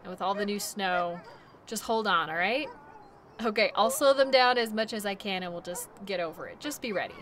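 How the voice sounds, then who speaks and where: A woman playing a cheerful, reassuring dog-sled musher in a naturalistic audio roleplay, chatting gently to her passenger. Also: medium pitch, lively intonation, fairly quick, slight background noise, natural voice. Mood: calm, friendly. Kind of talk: audio roleplay, naturalistic second-person acting.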